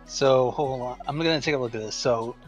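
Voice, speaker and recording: Blunt, sweary voice of middling pitch over music. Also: brisk, muffled recording. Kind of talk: casual chat. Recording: music playing behind the voice.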